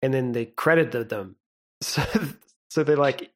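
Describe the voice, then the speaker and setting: A young man co-hosting a podcast in casual chat, relaxed and rambling, laughing often. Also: mid-range voice, measured pace. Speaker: podcast co-host.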